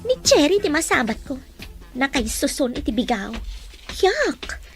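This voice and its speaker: A woman's voice: high voice, sing-song, measured pace, over music, exaggerated voice. A woman playing a haughty young student with a blunt, complaining manner in an audio drama. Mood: scornful. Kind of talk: Ilocano audio drama acting.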